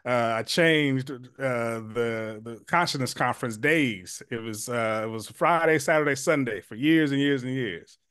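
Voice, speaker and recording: A man's voice: medium pitch, a little slow, few pauses, a bit bright, clear, resonant tone. An earnest man in his forties gives a long, reflective answer as an interview guest. He stops and restarts often. His voice sounds close and dry, with no room echo. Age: 40s. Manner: earnest, many fillers and restarts. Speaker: guest, community leader.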